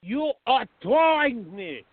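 A man playing an exasperated, excitable, easily offended friend in a radio drama, complaining with indignant, drawn-out emphasis. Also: extremely high pitch, slightly rough, no room sound, exaggerated voice. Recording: thin, phone-like band-limited audio.

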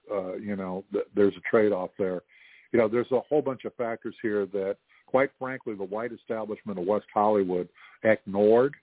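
A man's voice: lowish voice, no echo. A man, a journalist guest on a radio show, talking in long rambling runs full of "you know" and "uh". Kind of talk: radio interview. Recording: dry phone-like line.

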